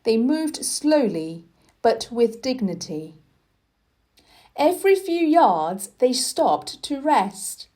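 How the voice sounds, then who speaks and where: A woman reads a storybook aloud as scripted narration for learners. Her voice is fairly high and very lively in pitch, and she takes her time with a slow, deliberate delivery. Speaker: audiobook reader.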